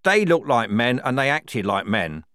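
A man's voice: mid-range voice, expressive, normal speed, a bit bright, no room sound, clean studio sound, voice pushed up, close to an everyday voice. Man voicing a shifty, defensive army captain. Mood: snarling. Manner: hesitant, evasive. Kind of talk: audiobook character dialogue.

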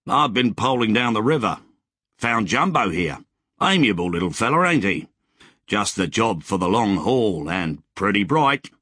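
A man's voice: fairly low pitch, sing-song, unhurried, sharp tone, husky, no echo, studio quality, heavily acted. A man voicing a cheerful, grizzled older man with a breezy, slangy way of talking. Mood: easygoing.

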